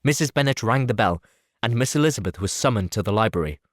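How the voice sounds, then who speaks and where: Male narrator, lively intonation, dry close studio sound, audiobook. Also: lowish voice, quick pace, no room sound. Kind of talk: scripted narration.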